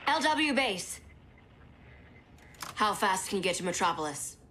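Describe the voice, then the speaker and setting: A woman playing a steady, encouraging scientist mentor in a video game cutscene. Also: medium pitch, unhurried, background noise. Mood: businesslike. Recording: music under the voice.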